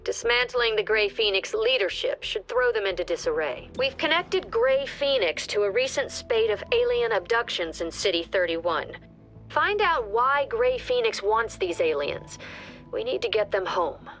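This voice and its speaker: Measured woman commander, music behind. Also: fairly high pitch, expressive, fairly bright tone. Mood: composed, firm. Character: composed woman commander briefing a tactical squad. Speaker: mission briefer. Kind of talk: scripted game briefing. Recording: background music under voice.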